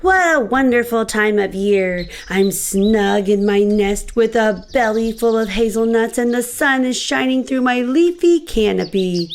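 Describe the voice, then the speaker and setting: A woman reading a children's storybook aloud in expressive narration. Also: on the high side, a little slow, hardly any pauses, a little hiss or hum.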